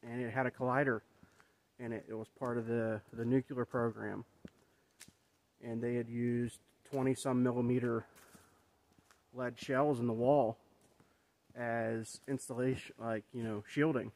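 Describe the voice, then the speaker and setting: A man's voice: medium pitch, flat delivery, measured pace, pauses often, darkish tone. A man in his thirties walking a wooded trail, filming himself at arm's length and talking in a rambling, reflective way with many fillers. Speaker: vlogger. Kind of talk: casual walking vlog. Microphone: phone or camera held at arm's length.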